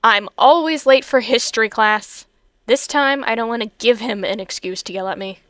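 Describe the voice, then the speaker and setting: Lady doing teen schoolgirl, high voice, dry recording, earnest n feisty, anime style dub. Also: normal speed, fairly bright tone, no echo, natural voice. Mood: grumbling. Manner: earnest, emotive. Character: spirited teenage schoolgirl, eager and defiant. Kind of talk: earnest fandub acting.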